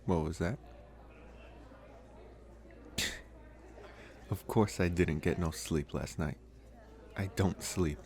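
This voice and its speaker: A man playing a gentle, lonely young college vampire in an intimate ASMR roleplay, speaking softly and haltingly with long pauses. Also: sits low. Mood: relaxed, amused.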